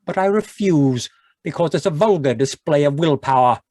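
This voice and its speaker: A man's voice: fairly high pitch, expressive, moderate pace, darkish tone, no room sound, clean studio sound. A man playing a genial, boozy ex-doctor sidekick in a 1940s-style radio drama, talking in a florid, rambling, mock-dignified way. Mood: tipsy, grandiloquent. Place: live remote video-call performance.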